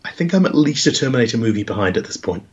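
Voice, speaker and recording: A man with a croaky voice in casual chat, laying out a game idea with long, rambling setups. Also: medium pitch, sing-song, racing, darkish tone. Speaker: podcast co-host. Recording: dull lo-fi podcast audio.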